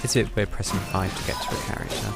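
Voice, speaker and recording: A woman's voice: a bass voice, steady tone, rapid-fire, gravelly. A woman live-streaming a game, commenting casually in a dry, offhand way with game music playing. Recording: loud game audio over stream mic.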